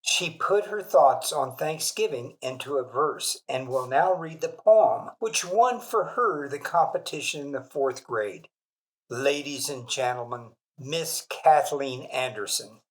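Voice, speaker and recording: An actor playing a formal, drily genial school master of ceremonies in an old radio drama. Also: male voice, mid-range voice, animated, a little slow, lightly acted, low-quality recording.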